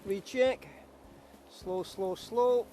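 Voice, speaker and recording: Chatty middle-aged man outdoors, higher voice. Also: mellow. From Kenya. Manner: chatty and self-assured, quick asides. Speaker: paragliding instructor. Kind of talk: casual chat to camera outdoors. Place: outdoors by a beach. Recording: outdoor wind and traffic noise.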